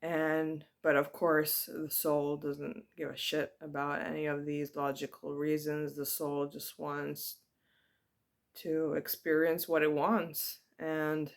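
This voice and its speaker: A woman giving a personal spiritual reflection to her viewers. She speaks in a hesitant, soft-spoken and heartfelt way, with many ums. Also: deep voice, little pitch movement, slow, creaky. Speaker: spiritual vlogger.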